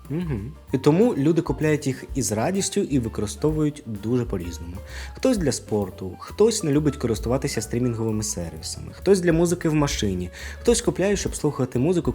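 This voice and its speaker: A man's voice: medium pitch, a little mellow. A man giving a conversational product review, casual in manner, with asides and rhetorical questions. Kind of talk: conversational video review to camera. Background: music.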